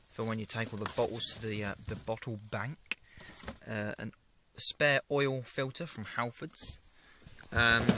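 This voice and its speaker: A man's voice: fairly low pitch, noisy background. A young man, seated in a parked car, shows what he keeps in it to a camera on the dashboard and jokes dryly about it. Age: young adult. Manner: dry, self-mocking, chatty. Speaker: vlogger. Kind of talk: casual car tour vlog. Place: parked small car, indoors. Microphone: fixed dashboard camera.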